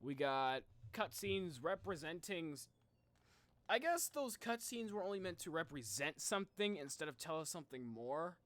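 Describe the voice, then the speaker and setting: A male streamer with a slightly high voice talks casually to his viewers. He thinks out loud in loose, slangy phrases as he reacts, and the sound is dry, with little room on it. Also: sing-song, normal speed, no room sound. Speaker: streamer, video host. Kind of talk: casual livestream reaction chat.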